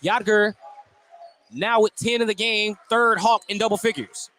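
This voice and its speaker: High-pitched man calling live basketball. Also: unhurried. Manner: excited on plays, detailed descriptive calling. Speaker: play-by-play sports announcer. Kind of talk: live high school basketball radio commentary.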